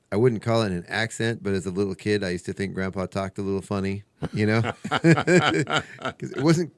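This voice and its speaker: Chatty man hosting radio, lowish dry voice. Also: no room sound. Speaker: radio show host. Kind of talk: casual radio chat. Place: radio studio.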